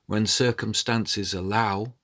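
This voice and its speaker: A man telling a story in a measured, dramatic way, with deliberate pauses between phrases. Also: on the low side, fairly even intonation, fairly bright tone. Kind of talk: dramatic oral storytelling narration with music. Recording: cello and violin music behind.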